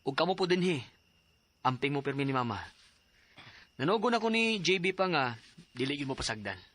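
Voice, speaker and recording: A man's voice: a little high, big pitch swings, brisk, fairly bright tone. A man with a Cebuano accent acting a dutiful, hopeful young nurse son in a radio drama, affectionate and reassuring. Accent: Cebuano Filipino. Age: young adult.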